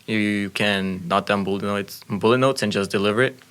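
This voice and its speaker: Teenage boy, low flat voice. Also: normal speed, smooth. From Mexico. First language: Spanish. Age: teens. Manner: earnest, explanatory. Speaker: student speech competitor. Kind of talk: casual chat.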